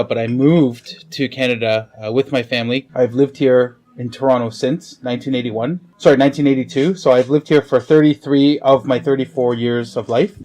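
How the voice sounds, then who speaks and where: A man in his thirties being interviewed, speaking reflectively with soft trailing endings. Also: mid-range voice. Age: 30s. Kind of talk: casual interview.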